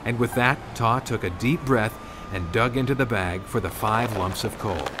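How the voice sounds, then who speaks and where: A man's voice: on the low side, moderate pace, background noise. A man reading a scripted children's storybook narration. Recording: music behind the voice. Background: background music.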